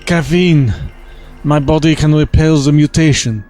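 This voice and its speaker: A weakened, otherworldly man played by an actor in an audio drama. He speaks slowly in a slightly high voice, cryptic and fatalistic, straining for each word over faint music. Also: a little mellow, close to an everyday voice. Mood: weak, straining. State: weak, labouring to speak.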